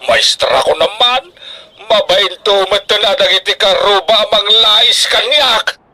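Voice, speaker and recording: A man's voice: high voice, expressive, a little slow, pushed and bright, exaggerated voice. A man playing a devoted, proud middle-aged farmer father in a radio drama, theatrical and emphatic in delivery. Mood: proud, boastful.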